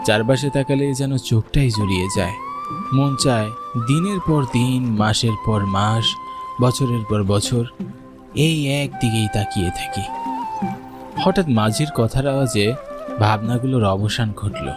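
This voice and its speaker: An actor playing a worried grown son, narrating a horror cartoon in an expressive, wistful first-person storytelling style. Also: male voice, medium pitch, unhurried, lightly acted. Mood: pensive. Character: worried city-working son narrating his eerie journey home. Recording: music behind the voice.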